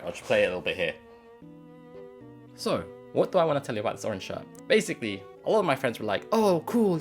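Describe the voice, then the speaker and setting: Brief: man in his 30s, vlog host, joking storyteller, mid-range voice, faint music behind. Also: mid-range voice. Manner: chatty, jokey, animated retelling. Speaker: advice channel host. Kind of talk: casual storytelling to camera. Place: small home office.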